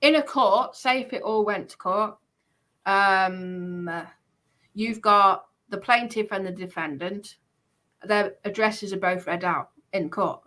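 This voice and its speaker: A woman's voice: medium pitch, takes it slowly, sharp tone. A woman in casual debate, speaking insistently and repeating her phrases.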